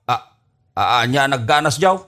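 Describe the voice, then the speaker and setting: A man's voice: medium pitch, lively intonation, normal speed, pushed and bright. A man playing an easygoing younger drinking buddy, puzzled and teasing, with an Ilocano accent in a comedy radio drama.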